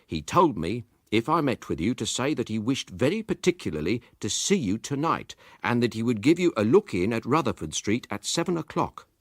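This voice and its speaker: A man's voice: mid-range voice, big pitch swings, a little fast, few pauses, no echo, studio quality, pitched above the performer's own voice. A man voicing a shifty young male go-between in a story, speaking in a matter-of-fact, confidential manner.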